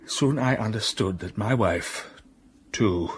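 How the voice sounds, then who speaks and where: Brooding gentleman telling his past in a radio play, slow and heavy, bit of hiss behind. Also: on the low side. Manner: slow, brooding storytelling with sudden rises of passion. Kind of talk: radio drama acting.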